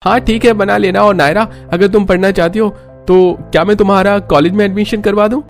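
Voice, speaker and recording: Man putting on a high, easygoing young man. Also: steady tone, a little fast. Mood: cheerful. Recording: music bed under the voice.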